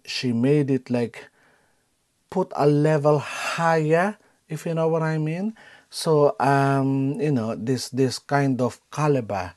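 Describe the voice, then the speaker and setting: A man in his thirties with a Filipino accent hosts a casual reaction vlog from his bedroom, gushing with frequent ums and exclamations. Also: mid-range voice. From Philippines. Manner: excitable, gushing, frequent "um" and "oh my God". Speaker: reaction video host. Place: bedroom at home.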